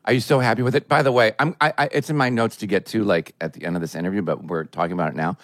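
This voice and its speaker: A man's voice: on the low side, fairly even intonation, very fast, fairly bright tone, no room sound, studio quality. A man co-hosting an interview, asking eager and enthusiastic questions. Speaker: interview host. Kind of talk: casual interview chat.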